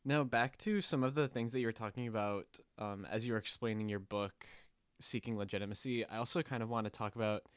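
A man's voice: lowish voice, steady tone, a little fast, smooth, dry recording. A man hosting an interview, asking long, rambling questions with hesitations. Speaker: interviewer host.

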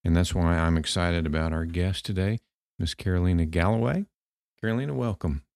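A man's voice: low voice, fairly quick, vocal fry, darkish tone, no room sound, studio quality. A man hosting a podcast in a conversational way. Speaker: podcast host.

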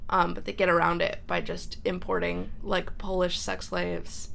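A woman from Iceland chats as a guest in a relaxed interview. Her voice carries a gravelly fry, and she talks loosely, with lots of fillers and quick laughs, close to a desk microphone. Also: fairly low pitch, slight background noise. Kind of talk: casual interview chat.

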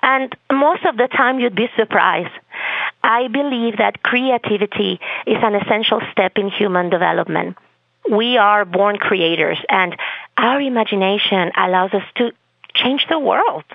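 A woman's voice: mid-range voice, unhurried, vocal fry, dry recording. Spanish-accented woman on a phone line. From Spain. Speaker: expressive arts therapist. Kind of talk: podcast interview. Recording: phone-line audio, dry.

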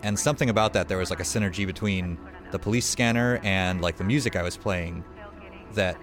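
Low American man over prominent music. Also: a little fast. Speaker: interviewee, website creator. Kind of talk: casual storytelling in an interview.

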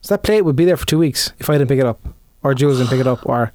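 A man's voice: mid-range voice, lively intonation, very fast, a little mellow, dry recording, clean studio sound. A young man co-hosting a podcast, joking around in easygoing casual chat. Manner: easygoing, jokey banter. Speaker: podcast co-host.

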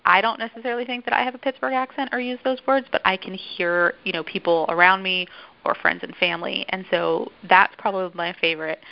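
A woman who writes for a museum, answering interview questions thoughtfully with many filler pauses. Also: fairly low pitch, creaky, highs cut off.

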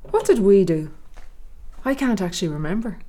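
An actress playing a weary, withdrawn middle-aged lecturer talking quietly with her husband in a radio drama. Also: medium pitch, expressive, normal speed, noisy background, natural voice. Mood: wry. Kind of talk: radio drama acting, low-key domestic chat.

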